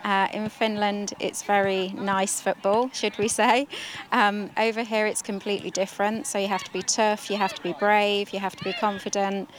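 A woman, an operations manager for a football development group, speaking in a measured, emphatic way in a news interview. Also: medium pitch, little pitch movement, a little hiss or hum.

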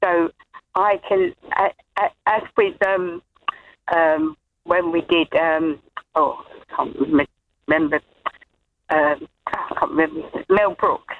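A woman calling in to a radio show, talking hesitantly with many pauses and restarts. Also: fairly low pitch, a little hiss or hum. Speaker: caller. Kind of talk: phone-in chat. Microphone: phone line. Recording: tinny dry phone line.

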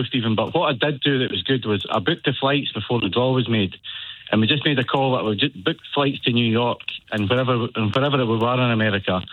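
A Scottish man in his forties calling a radio show by phone, excited and rambling with many restarts. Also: medium pitch, no echo. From Scotland. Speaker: caller. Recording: phone line.

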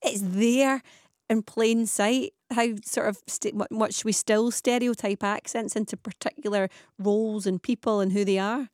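A woman presenting a radio show, interviewing in a conversational manner with quick asides. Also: medium pitch, steady tone, darkish tone, no room sound, clean studio sound.

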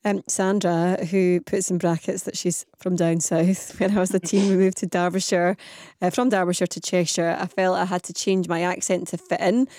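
English woman radio host, warm dry tone, conversational interviewer. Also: lowish voice, flat delivery, normal speed, dry recording. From England. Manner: curious, conversational, reads listener messages.

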